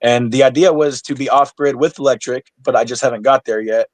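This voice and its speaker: A man's voice: medium pitch, steady tone, fairly quick, no room sound. A man of thirty-nine, a podcast guest in casual chat, blunt and swearing freely, stumbling over restarts. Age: 30s. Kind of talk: casual conversation.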